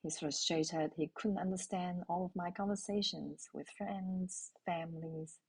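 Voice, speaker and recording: Low, gravelly, slow-spoken woman from Hong Kong. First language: Cantonese. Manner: calm, intimate, addresses listeners with questions. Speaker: parent sharing a personal story. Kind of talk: reflective spoken essay.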